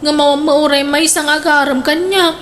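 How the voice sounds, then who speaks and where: A high female voice as a touchy single woman in a radio comedy, sulking and sighing between phrases. There is faint noise in the recording. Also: steady tone, normal speed, a bit bright. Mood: sulky. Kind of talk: comedic radio drama acting.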